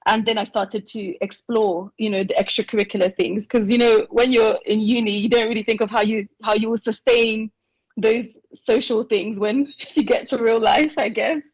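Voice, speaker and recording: A young woman, born in Nigeria and raised in England, sharing her story with a panel over a remote video call in a chatty way with quick asides. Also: a little high, steady tone, no echo, rough recording. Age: young adult. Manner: chatty and warm, quick asides with light laughs. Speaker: alumna panelist.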